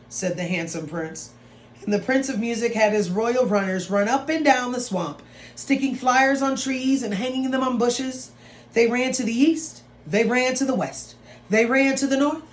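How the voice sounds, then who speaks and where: A woman teacher reading a story aloud to students in an expressive, dramatic way, with drawn-out phrases and playful asides. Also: fairly high pitch. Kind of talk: expressive read-aloud of a picture book for students. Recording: roomy echo with some background noise.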